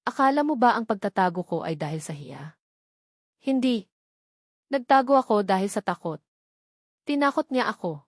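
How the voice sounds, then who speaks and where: A woman's voice: medium pitch, expressive, normal speed, pauses often, no echo, studio quality. A woman voicing a frightened, bitter mother, urgent and desperate to protect her children, in an audio drama. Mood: bitter fear. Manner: urgent, fearful.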